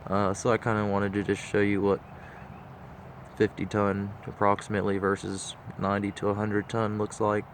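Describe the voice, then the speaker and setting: A man's voice: on the low side, completely flat delivery, moderate pace, a little hiss or hum. A man with a stuffed-up nose, talking casually and rambling with lots of fillers. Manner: laid-back, rambling with many fillers. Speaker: diecast model collector vlogger. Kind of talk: casual walkthrough talk.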